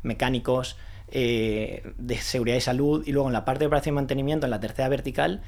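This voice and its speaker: Fast-talking man, guest founder. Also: medium pitch, steady tone, a little mellow. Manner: fast talker with many restarts and fillers. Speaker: founder of a renewable energy recruitment consultancy. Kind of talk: casual interview answers.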